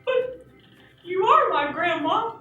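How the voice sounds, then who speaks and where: A performer voicing a trusting, stubborn young boy puppet in a puppet show, earnest and quick to exclaim indignantly. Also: very high voice, lively intonation, sharp tone, muffled recording, heavily acted. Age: child character. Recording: echoey room, distant mic, music behind.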